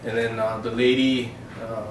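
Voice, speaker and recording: A man's voice: medium pitch, flat delivery, normal speed. Man in his thirties telling a story. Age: 30s. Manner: loose and conversational, with fillers. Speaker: interviewee. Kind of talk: casual personal storytelling. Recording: echoey room, distant mic, background noise.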